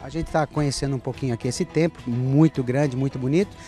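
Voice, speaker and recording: A man's voice: medium pitch, steady tone, fast, dark tone, soft background music. A Brazilian man hosting a travel food show outdoors, chatting easily with a local guide in a Brazilian Portuguese accent. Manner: chatty, easygoing, asks leading questions. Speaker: cooking show host. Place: outdoors at an ancient temple.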